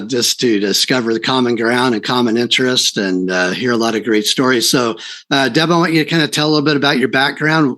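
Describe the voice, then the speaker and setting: A man's voice: medium pitch, bright, forceful tone. A man hosting a podcast in a casual, conversational interview style. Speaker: podcast host.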